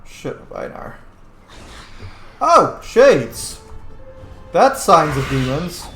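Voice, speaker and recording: A man's voice: a little high, sing-song, very slow, a bit bright, faint music behind. Echoey man doing casual game commentary. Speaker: gaming streamer, role-player. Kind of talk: casual game playthrough commentary with in-character replies. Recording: roomy echo with faint background noise.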